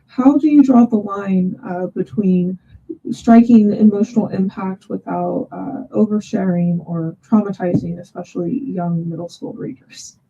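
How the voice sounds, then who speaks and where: A woman's voice: medium pitch, measured pace, warm tone, a little hiss or hum. A woman hosting an author webinar and relaying audience questions with hesitant phrasing, heard over dull webinar audio.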